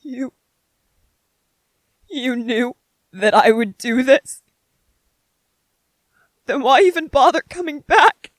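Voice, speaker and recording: Anxious, earnest young man, played by a woman, slow and halting, close dry sound, ASMR audio drama. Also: sits high, lively intonation, no echo. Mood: hurt, distraught. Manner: halting, stammering, repeating phrases. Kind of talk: ASMR roleplay audio drama, spoken to the listener.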